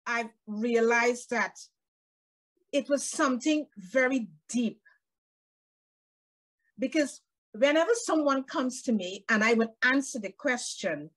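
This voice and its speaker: This is a middle-aged woman with a Guyanese accent and a fairly high voice. She talks in a jovial, laughing way as a podcast guest. She is on a video call from her home. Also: slow, pauses often, a bit bright, low-quality recording. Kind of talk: casual interview chat. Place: home room with bookshelves.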